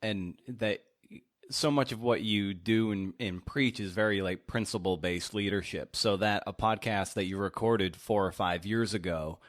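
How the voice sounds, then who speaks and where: A man's voice: lowish voice, unhurried. A man hosting a podcast interview in a casual, affirming way, with somewhat hesitant phrasing. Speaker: podcast host.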